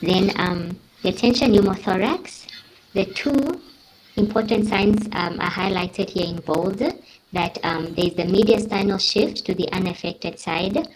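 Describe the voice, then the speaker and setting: A woman's voice: medium pitch, measured pace, slight background noise, low-quality recording. A woman giving a medical teaching presentation, explaining in a careful and somewhat hesitant way.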